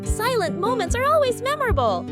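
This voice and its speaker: A very high, childlike voice narrates a children's cartoon with lively swings in pitch. The phrasing is simple and storybook-like, and children's music plays prominently behind it. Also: a little fast.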